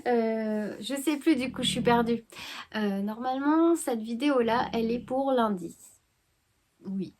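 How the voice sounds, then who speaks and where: Woman, higher voice, congested with a cold, hesitant, full of fillers, casual knitting chat at home. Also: a little slow, darkish tone. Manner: hesitant, many fillers and restarts. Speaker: knitting vlogger.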